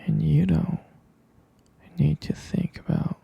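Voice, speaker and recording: A man speaking in a breathy, husky whisper, tender, soothing and halting, as a boyfriend in a bedtime ASMR roleplay, close to the microphone. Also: a little high, warm tone, no echo, lo-fi sound. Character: tender, protective boyfriend lulling partner to sleep.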